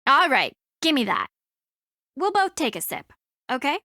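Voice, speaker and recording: An actress playing a flustered, defensive, sharp-tongued teenage girl in a video game. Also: sits high, sing-song, measured pace, no echo, clean studio sound, full character voice. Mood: sarcastic, reluctant. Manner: prickly, easily exasperated.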